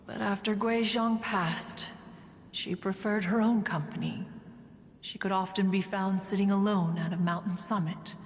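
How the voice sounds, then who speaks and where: A woman's voice: medium pitch, steady tone, unhurried, in a large hall, natural voice. A woman playing a proud, ancient, aloof immortal who tells stories in formal, archaic, stately speech in a video game. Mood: wistful, solemn. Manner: formal, stately, archaic storytelling, refers to self as "one". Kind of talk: scripted video game dialogue.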